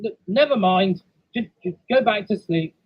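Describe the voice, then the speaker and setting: An exasperated storybook train driver, played by a man, scolds loudly in a high, raspy voice. He is animated and firm but caring, with a slightly dull recording. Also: normal speed, no room sound. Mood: coaxing.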